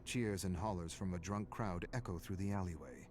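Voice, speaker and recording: A man reading a dramatic scripted story in a measured, brooding way, in short clipped phrases. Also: low voice. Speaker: narrator.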